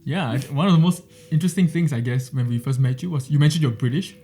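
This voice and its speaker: A man's voice: on the high side, warm tone. Young man hosting a casual chat. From Singapore. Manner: friendly, quick, filler-heavy. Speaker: podcast host. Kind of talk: casual interview conversation.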